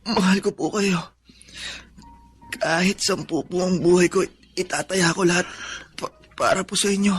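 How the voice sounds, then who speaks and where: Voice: medium pitch, fairly even intonation, moderate pace, long pauses, breathy edge, faint music behind. A performer in a Filipino radio drama playing a devoted, selfless young child, pleading and tender, with a Filipino accent. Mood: weak, loving.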